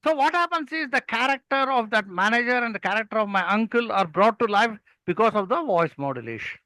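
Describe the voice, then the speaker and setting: Bright, high-voiced older Indian man. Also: animated, fairly quick, no echo. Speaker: public speaking mentor and guest. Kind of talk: interview talk with anecdotes.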